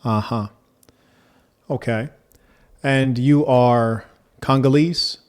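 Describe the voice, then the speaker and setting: A man hosting a debate, speaking in a measured, formal manner and asking probing questions. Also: medium pitch, smooth. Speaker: debate host.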